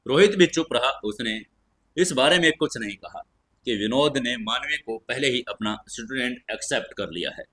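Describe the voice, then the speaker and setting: A man's voice: medium pitch, animated, normal speed, bright, forceful tone, slightly rough. A man narrating a fantasy audio story in a scripted, dramatic storytelling style.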